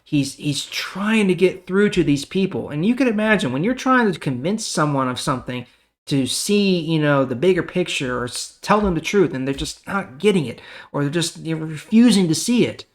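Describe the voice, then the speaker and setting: A man's voice: a little high, expressive, moderate pace. A man reading the Bible and explaining it in a casual, conversational way as host.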